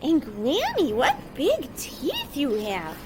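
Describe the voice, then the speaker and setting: A woman voicing a sweet, polite little girl in a high, squeaky, breathless way. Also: wildly swooping pitch, slow pace, a little mellow, slight background noise, exaggerated voice. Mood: wondering.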